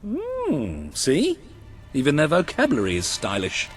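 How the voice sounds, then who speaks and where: An actor with a British accent playing a cocky, irreverent, wisecracking soldier in a fantasy video game, sardonic in manner. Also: male voice, fairly high pitch, a little slow, music in the background. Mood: mock flattering. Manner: sardonic, animated. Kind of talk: dramatic game dialogue.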